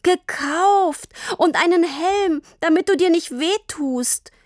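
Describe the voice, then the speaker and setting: A woman's voice: very high-pitched, flat delivery, unhurried, vocal fry, darkish tone, no echo, clean studio sound, pitched above the performer's own voice, exaggerated voice. A woman voicing an eager, sensitive young girl in an audiobook, emphatic and childlike. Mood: tearful, insistent. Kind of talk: dramatic audiobook character voice.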